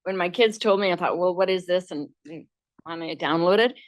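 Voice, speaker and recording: A woman's voice: fairly low pitch, a little fast. A woman co-founder on a conference panel tells a chatty personal story, then turns businesslike about her company. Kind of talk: panel talk with personal anecdote. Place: conference room with audience.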